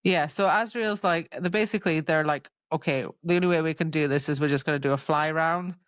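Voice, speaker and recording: A woman's voice: low voice, brisk, dry recording, highs cut off. A woman co-hosting a podcast, loosely and chattily retelling a book in casual speech. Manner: chatty, loose retelling with lots of "like" and acted-out quotes. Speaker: podcast co-host. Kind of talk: casual book recap chat.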